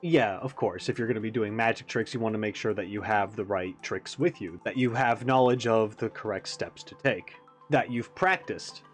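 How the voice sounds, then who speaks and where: A man's voice: fairly low pitch, normal speed. A man hosting a reaction video, giving casual, off-the-cuff commentary. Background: faint music.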